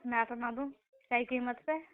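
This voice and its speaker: A woman's voice: fairly high pitch, flat delivery, moderate pace, clear, resonant tone. A young woman chats casually on a phone call, taunting playfully. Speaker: sister on a call. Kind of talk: casual teasing phone chat. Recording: tinny narrowband phone call.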